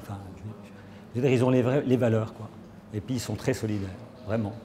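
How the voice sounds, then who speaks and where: A man's voice: lowish voice, steady tone, fairly quick, background noise. A man who works as a vocational trainer, explaining the teaching in a plain documentary interview.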